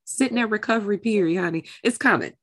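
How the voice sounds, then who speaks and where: A woman's voice: mid-range voice, fast talker, a bit bright, dry recording, clean studio sound. A woman hosting an interview show in a candid, heartfelt, familiar and affectionate conversational way.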